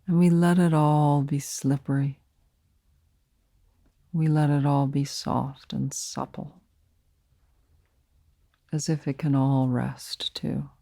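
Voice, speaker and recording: A woman leading a guided meditation as a teacher, speaking softly and soothingly in short, unhurried phrases with pauses between them. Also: deep voice, little pitch movement, dry recording, studio quality.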